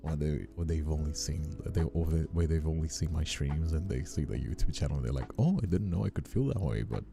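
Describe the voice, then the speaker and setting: A man's voice: very deep voice, animated, fairly quick, gravelly, music in the background. A man from Brazil hosting a livestream in casual, playful chat, rambling and often repeating himself. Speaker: streamer and voice actor hosting a livestream.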